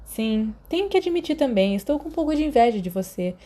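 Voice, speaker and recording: A woman's voice: a little high, very animated, brisk, dark tone, voice pushed up. A Brazilian woman voicing a cocky, theatrical teenage boy in a storybook reading. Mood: imperious.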